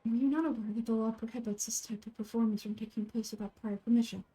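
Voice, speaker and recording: A woman's voice: fairly high pitch, fairly even intonation, fast, dark tone, lightly acted, low-quality recording. A woman voicing a stern, haughty scholar-official in a fantasy game, speaking in a formal, pompous and clipped manner.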